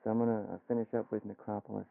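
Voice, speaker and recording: An American man hosting a casual radio interview, asking questions in a low-key, conversational way. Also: little pitch movement, racing, creaky, dry recording. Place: radio studio. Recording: tinny, phone-like vintage radio aircheck.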